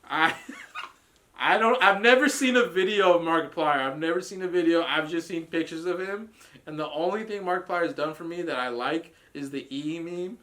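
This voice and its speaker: A man's voice: fairly high pitch, moderate pace, a bit bright. A man co-hosting a casual reaction podcast, blunt and profane, ranting with frequent laughs. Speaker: podcast co-host reviewing a trailer. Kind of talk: casual group reaction chat.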